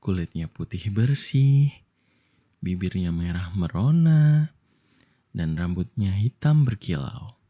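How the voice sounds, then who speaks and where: A young Indonesian man speaks in a very dark, mellow, breathy voice, intimate and unhurried. He plays an affectionate boyfriend telling a bedtime story in soft ASMR style, with playful casual asides. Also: deep voice, animated.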